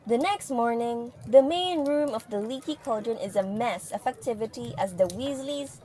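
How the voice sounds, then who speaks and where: A woman's voice: fairly high pitch, moderate pace, mellow, a little hiss or hum. A woman reading scripted story narration as the narrator of an audio drama.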